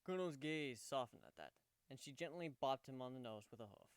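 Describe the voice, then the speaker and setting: A man's voice: medium pitch, animated, fairly quick, clear, resonant tone, dry recording. A man narrating a scripted story as a dramatic reading.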